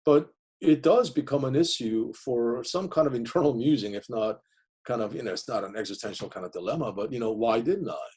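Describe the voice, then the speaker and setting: Husky Korean-born man, thoughtful and halting. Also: medium pitch, expressive, darkish tone. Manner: hesitant, many fillers and restarts. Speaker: interviewee, divinity school professor. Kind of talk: reflective interview answers.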